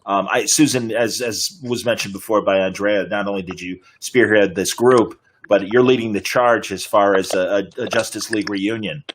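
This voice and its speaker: A man's voice: lowish voice, steady tone, moderate pace, few pauses, slight background noise. A man hosting a panel interview, asking hesitant, rambling questions. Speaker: interview host and moderator. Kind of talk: fan panel interview over video call. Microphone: video call.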